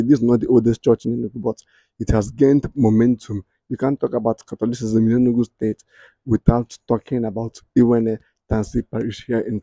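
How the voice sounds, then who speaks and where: Young Nigerian man hosting a tour, Nigerian accent, very dark mellow tone, dry and slightly dull sound. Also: mid-range voice, breathy edge, dry recording. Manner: upbeat, addresses viewers directly. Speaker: real estate video host. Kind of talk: walking tour presentation. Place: outdoors in a church compound.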